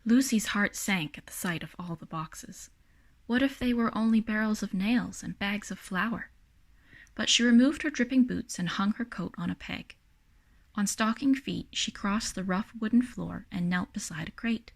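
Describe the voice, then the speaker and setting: A woman reads a children's picture book aloud. Her narration is expressive and sits at an ordinary middle pitch, in the manner of a storybook read-aloud. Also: normal speed, gravelly. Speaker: storybook reader.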